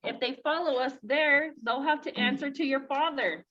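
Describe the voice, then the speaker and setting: A woman's voice: high-pitched, moderate pace, fairly bright tone, muffled recording, a little hiss or hum. A woman voicing a fussy, proper male bird minder in a children's storybook, speaking formally. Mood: alarmed. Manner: formal, pleading and scolding.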